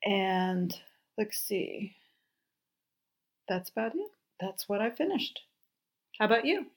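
A woman co-hosting a knitting podcast, talking in a friendly, relaxed way and asking questions. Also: medium pitch, takes it slowly. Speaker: podcast co-host. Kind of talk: casual chat about knitting.

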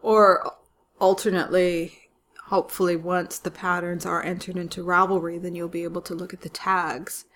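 A woman co-hosting a casual podcast while getting over a cold, agreeable and quick with short affirmations. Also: fairly low pitch, little pitch movement, fairly bright tone. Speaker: podcast co-host. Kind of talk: casual chat and podcast outro.

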